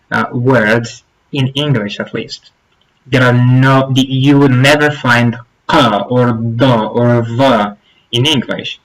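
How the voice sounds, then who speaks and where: Man, Arabic native speaker, young adult, earnest advice, deliberate with restarts and fillers, mid-pitched voice. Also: poor audio. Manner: earnest and advisory, stresses points by repeating them. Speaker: YouTube channel host giving application advice. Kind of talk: informal spoken tutorial to camera.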